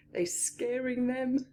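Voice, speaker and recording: A woman reading a picture book aloud to young children, expressively, with asides to her listeners and hushed whispers. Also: high voice, slight background noise. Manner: acts out characters, pausing for asides to listeners. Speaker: children's story reader.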